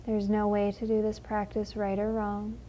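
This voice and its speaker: A woman leading a guided meditation in a gentle, unhurried, soothing manner with long pauses. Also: medium pitch, monotone, lo-fi sound, slight background noise.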